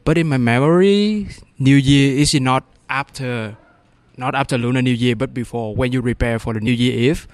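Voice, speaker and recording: A man from Vietnam, a student, speaking haltingly in a casual interview and searching for his words. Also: medium pitch, no room sound.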